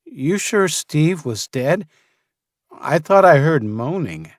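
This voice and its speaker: A man voicing a timid, naive young crew rookie in an audiobook, hesitant and slow-witted. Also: fairly high pitch, very animated, no echo, studio quality, voice pushed up. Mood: timid, unsure.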